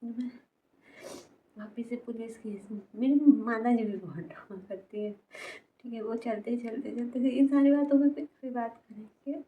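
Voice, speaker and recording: A woman in her twenties talking personally to camera as a vlogger, halting and repetitive, often trailing off mid-sentence, in a tinny, phone-like recording. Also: a little high, dark tone. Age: 20s. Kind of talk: personal confessional talk to camera. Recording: tinny phone-like sound, noisy background.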